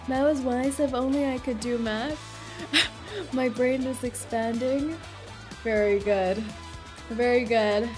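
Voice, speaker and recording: A woman streaming a game, chatting playfully and giggling as she reacts to the story. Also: high-pitched. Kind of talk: casual gameplay commentary.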